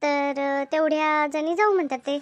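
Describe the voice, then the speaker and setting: A woman's voice: high voice, steady tone, moderate pace, close to an everyday voice. A woman playing a busy, talkative village housewife in a comedy cartoon, chatting colloquially with a rural Maharashtrian accent. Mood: explaining. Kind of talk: animated comedy cartoon dialogue.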